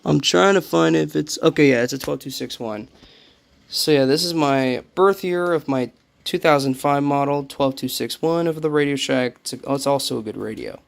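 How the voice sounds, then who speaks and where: Creaky-voiced young man rambling casually. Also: medium pitch, normal speed. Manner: rambling, self-correcting. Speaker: radio collector showing a collection. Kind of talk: casual show-and-tell vlog. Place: small cluttered room indoors.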